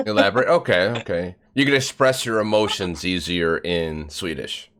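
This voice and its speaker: A woman hosting a casual interview, laughing loudly and swearing in surprise. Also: a bass voice, moderate pace. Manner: loud laughing swings, swears. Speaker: podcast host.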